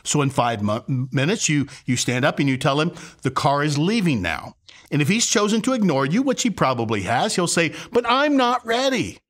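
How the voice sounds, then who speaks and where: Animated older man preaching in a higher voice. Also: moderate pace. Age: 60s. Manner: emphatic, rhetorical questions. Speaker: pastor teaching on parenting. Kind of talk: conversational sermon to camera with acted-out examples. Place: furnished living room, indoors.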